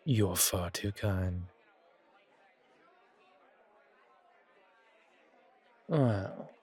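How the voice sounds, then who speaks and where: Suave male vampire, voiced by a man for roleplay audio: low, raspy, genteel and flirtatious, with bar chatter behind. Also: a little mellow. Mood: charming, flirtatious. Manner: genteel, poetic, unhurried, flirtatious. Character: suave, courtly, old-world male vampire charming a stranger. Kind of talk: roleplay audio, ASMR horror.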